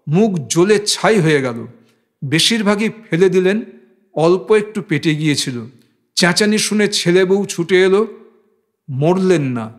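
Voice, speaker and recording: Male audiobook narrator, high register, for literary fiction. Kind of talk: literary scripted narration of a novel.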